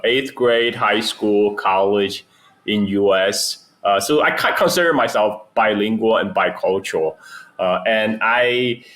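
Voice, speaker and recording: A man's voice: on the low side, a bit bright. A man in his forties from China, a podcast guest chatting over a video call, rambling with many restarts. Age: 40s. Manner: rambling, lots of restarts and fillers. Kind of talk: casual chat.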